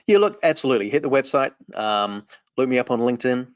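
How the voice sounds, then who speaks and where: A man's voice: medium pitch, big pitch swings, quick pace, no room sound. A man in his forties with a New Zealand accent, answering interview questions with enthusiasm and lots of restarts. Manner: enthusiastic, rambling with frequent restarts. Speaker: company founder. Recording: dry, muffled lo-fi audio.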